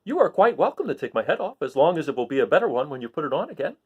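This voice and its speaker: A man's voice: fairly high pitch, sing-song, very fast, slightly rough, dull audio. A man voicing a cheerful, proud scarecrow in a storybook reading, eager and earnest. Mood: eager, uneasy.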